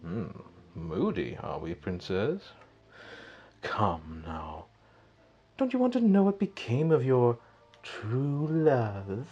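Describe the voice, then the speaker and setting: An actor playing a wicked, mocking sorcerer villain in an audio drama, with a taunting, theatrical delivery. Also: male voice, mid-range voice, sing-song, warm tone, husky, dull audio, exaggerated voice. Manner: taunting, theatrical, drawn-out delivery with sinister chuckles. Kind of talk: fan audio drama acting.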